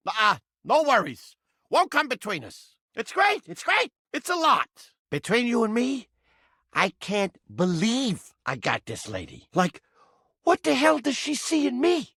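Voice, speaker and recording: A man's voice: high voice, bright, forceful tone, breathy edge, dry recording, clean studio sound, heavily acted. A man voicing a foul-mouthed, touchy, lovelorn mouse trap come to life in a video game, with brash, streetwise, slangy griping. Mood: nervous, smitten. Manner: brash streetwise slangy griping, swinging between insults and bashfulness. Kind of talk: cartoonish video game character acting.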